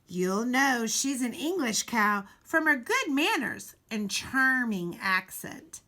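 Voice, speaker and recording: A woman reading a children's storybook aloud expressively, with deliberate, dramatic emphasis and pauses. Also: a little high, big pitch swings, pushed and bright. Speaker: teacher reading a picture book aloud.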